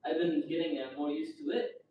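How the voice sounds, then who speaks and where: A man's voice: on the high side, steady tone, a little fast, highs cut off. A man, a former student, telling his story in slightly hesitant second-language English. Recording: echoey room, distant mic, faint hum.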